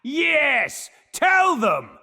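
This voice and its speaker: A man's voice: very high-pitched, wildly swooping pitch, very slow, gravelly, pushed and bright, exaggerated voice. A man voicing a scheming male goblin who mocks and cackles, in an audio drama with long hall reverb. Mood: gloating. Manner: mocking, cackling.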